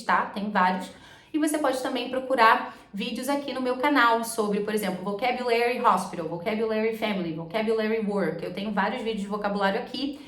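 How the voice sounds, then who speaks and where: A woman with a Brazilian Portuguese accent explaining exam tips to the camera as an English teacher. She checks in with her listeners often. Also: medium pitch. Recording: echoey room.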